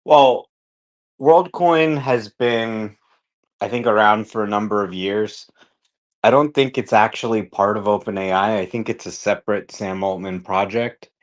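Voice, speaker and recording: A man with a fairly low voice talks as a guest on a panel. His style is casual and explanatory, laying ideas out in plain terms and posing rhetorical questions. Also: a little slow.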